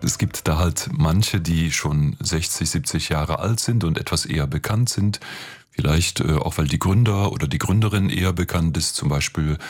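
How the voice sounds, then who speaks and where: A man's voice: deep voice, moderate pace, soft background music. A man, a clergyman, explaining things in an interview in a plain-spoken, colloquial way.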